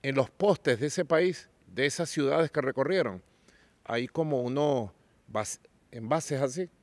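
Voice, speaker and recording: A man from Venezuela hosting a podcast, talking politics in a slow, measured way and drawing out his lists. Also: mid-range voice, dry recording. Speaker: podcast host. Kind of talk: political conversation.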